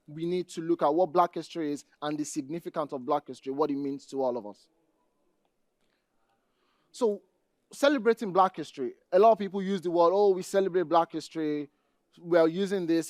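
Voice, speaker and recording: Nigerian guy giving a conference talk, voice a bit high, talks right to the audience, pretty dry sound. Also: no room sound. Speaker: conference speaker, database administrator.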